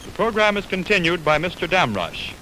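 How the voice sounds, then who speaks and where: A man's voice: high-pitched, very animated, fairly quick, sharp tone, slight background noise, poor audio. A man announcing formally from a script in 1920s radio style, on an old warped film recording of a broadcast.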